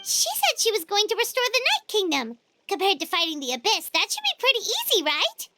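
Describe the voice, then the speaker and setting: A woman's voice: extremely high pitch, wildly swooping pitch, brisk, heavy background noise, full character voice. A woman voicing a tiny, childlike, chirpy and eager flying fairy companion in a video game. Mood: puzzled. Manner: refers to self in third person, exclaims. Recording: music behind the voice.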